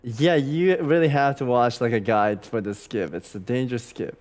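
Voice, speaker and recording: A man's voice: medium pitch, normal speed, a little mellow. A man in his twenties co-hosting a live stream, laid-back, laughing easily and talking through his gameplay. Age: 20s. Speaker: co-host playing a game on stream. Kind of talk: casual live-stream banter.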